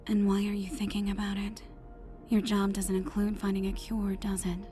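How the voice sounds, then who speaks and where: A woman's voice: medium pitch, level tone, moderate pace, mellow, natural voice. A woman voicing a tough, cynical female secret agent's inner thoughts in a dramatized audiobook, in a wry, self-questioning manner. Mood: self-chiding. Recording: music behind the voice.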